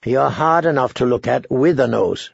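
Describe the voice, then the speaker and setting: A man voicing a proud, sardonic, wounded knight in an audiobook, speaking in hushed, dry tones. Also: moderate pace, creaky, slightly rough, dry recording, muffled recording. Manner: low whispering, sardonic.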